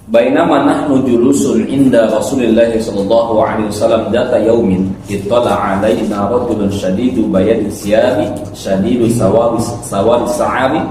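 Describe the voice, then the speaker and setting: A man's voice: lowish voice, steady tone, moderate pace, mellow. A man giving an instructive Islamic lecture to a congregation as a preacher, often checking in with short tag words. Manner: didactic, with frequent "ya" tags and check questions. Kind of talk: religious lecture explaining hadith to a congregation. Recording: very echoey hall, distant mic, faint noise.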